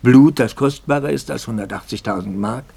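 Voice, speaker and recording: A man playing an anguished, doubting pastor in a German radio drama, with a gravelly, husky voice and grave, halting, rhetorical delivery. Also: medium pitch, dark tone. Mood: agitated, indignant. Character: brooding male pastor, anguished, doubting, intense. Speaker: actor in a radio play.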